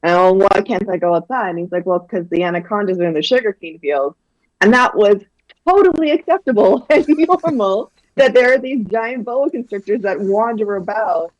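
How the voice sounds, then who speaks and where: Excited woman from guyana talking about her books, kinda dull lo-fi sound, dry. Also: medium pitch, moderate pace, no echo. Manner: animated, enthusiastic, many fillers and restarts. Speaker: children's book author, guest. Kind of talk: casual interview chat.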